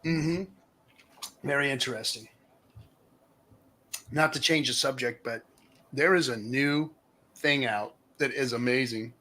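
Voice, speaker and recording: A man's voice: medium pitch, unhurried, long pauses, sharp tone. A man hosting a casual livestream, chatting with rambling asides and reading out comments from the chat. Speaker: livestream host.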